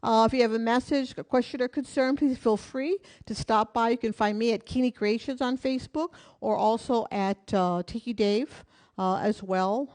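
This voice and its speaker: A woman's voice: a little high, normal speed, darkish tone. A woman teaching a live online hula class from her home in Hawaii, counting beats and talking through each move in a friendly, encouraging way.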